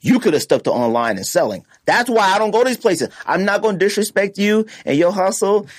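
A man's voice: sits high, sing-song, a little fast, no room sound, studio quality. A man hosting a podcast in casual, opinionated chat, talking bluntly in slang and repeating phrases for emphasis. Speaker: podcast host.

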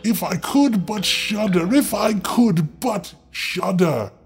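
A man's voice: high-pitched, animated, slow pace, soft background music, voice pushed up, full character voice. Man playing a wistful, dauntless fairy-tale youth. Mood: wistful complaining. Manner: archaic, earnest phrasing. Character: fearless, simple young man, wistful and dauntless.

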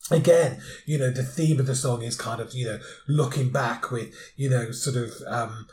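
A man's voice: mid-range voice, moderate pace, darkish tone, echoey room. A man hosting a podcast, describing things in a casual, descriptive way with lots of fillers. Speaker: podcast host. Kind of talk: casual chat.

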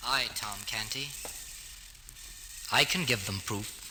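An actor playing a proud, fair-minded young prince, regal and declamatory, in an old-time radio drama. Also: male voice, on the low side, takes it slowly. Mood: assured, recollecting. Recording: noisy old radio recording, long reverb.

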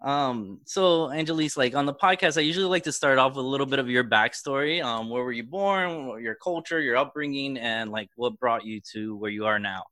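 A man hosting a podcast in a friendly, relaxed chat, often pausing with hesitant fillers. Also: mid-range voice, dry recording. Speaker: podcast host. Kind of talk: casual interview chat.

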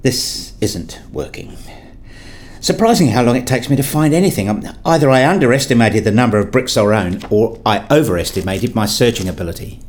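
A man's voice: mid-range voice, lively intonation, brisk, a little mellow. A man reading scripted first-person narration for an audio play, slipping into acted dialogue as a father.

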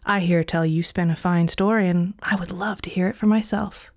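A woman playing a calm, cold devil. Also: lowish voice, normal speed, no room sound, studio quality, lightly acted. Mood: cool. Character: the devil as a cool male stranger.

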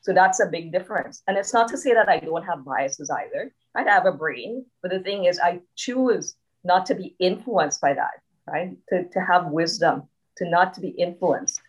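Low-voiced woman with a subtle Trinidadian accent. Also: expressive, moderate pace, dull audio. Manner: reflective, frequent tag "right?". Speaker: coactive coach, podcast guest. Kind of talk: conversational interview.

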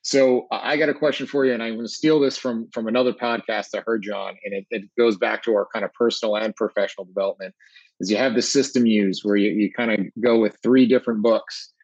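A man's voice: fairly low pitch, steady tone, quick pace, a little mellow, no room sound, muffled recording. Easygoing male podcast host, dry sound. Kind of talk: casual podcast interview.

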